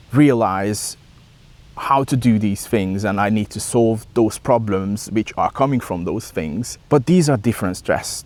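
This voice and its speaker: A man with a fairly low voice and a Hungarian accent speaks reflectively, as if to his vlog viewers. His English is non-native, with hesitant phrasing as he works through his thoughts. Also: darkish tone, no room sound. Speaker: vlogger. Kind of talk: reflective talk to camera.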